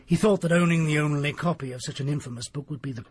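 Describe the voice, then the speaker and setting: An actor playing a secretive country doctor who tells a grim tale in a grave, hushed manner. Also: male voice, on the high side, fast talker, close to an everyday voice. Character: desperate, secretive middle-aged male country doctor. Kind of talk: period radio drama acting.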